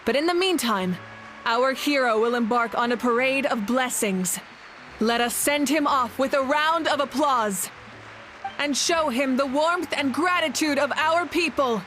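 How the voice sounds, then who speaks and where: A woman playing a solemn, warm leader of a warrior nation, speaking with formal ceremony in a video game cutscene. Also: high voice, measured pace, a little hiss or hum. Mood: solemn, proud.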